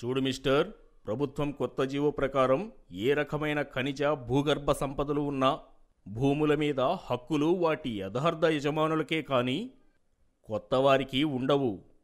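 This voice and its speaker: A man's voice: medium pitch, fairly even intonation, measured pace, close to an everyday voice. Man acting a stern, formal government officer. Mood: stern warning.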